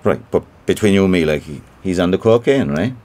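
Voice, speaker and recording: A man's voice: deep voice, animated, a little fast, darkish tone. An actor playing a gossipy, self-important small-time theatre man with an Irish Cork accent, chatty and boastful in a naturalistic audio drama. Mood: angling, nosy.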